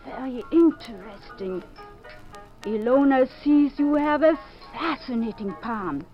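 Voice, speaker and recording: A woman's voice: sits high, lively intonation, a little slow. An actress playing a coy, mysterious, theatrical female fortune-teller in a 1950s radio drama. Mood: teasing, mysterious. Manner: theatrical, mysterious, refers to herself by name. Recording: dull 1950s radio recording, faint music.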